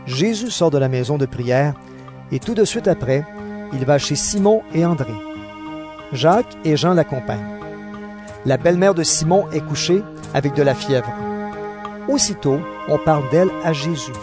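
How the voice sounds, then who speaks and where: Higher-voiced man narrating over music. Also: animated, moderate pace. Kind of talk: scripted audio Bible narration.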